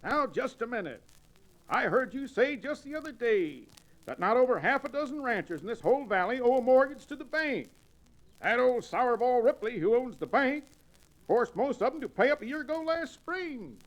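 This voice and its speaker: An actor playing a blustery old reprobate cowboy sidekick with pompous, florid diction in an old-time radio Western. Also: male voice, very high-pitched, big pitch swings, normal speed. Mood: puzzled indignation. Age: older. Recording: noisy vintage radio drama recording.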